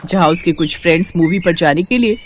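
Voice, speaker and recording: A woman's voice: lowish voice, a little fast, slight background noise. A woman narrating a scripted story as a storyteller.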